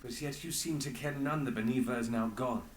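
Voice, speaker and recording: An actor playing a stern, dry inspector in a video game, speaking in measured, clipped, formal lines. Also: male voice, mid-range voice, echoey room, slight background noise, natural voice, poor audio. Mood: guarded. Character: stern, dry male inspector, guarded and duty-bound. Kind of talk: video game dramatic dialogue.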